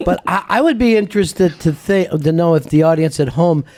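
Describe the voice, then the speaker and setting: A man's voice: on the high side, moderate pace, darkish tone. A man hosting an interview, easygoing and agreeable, often chiming in with short replies. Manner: easygoing, agreeable, frequent "yeah" backchannels. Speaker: interview host. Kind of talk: casual interview chat.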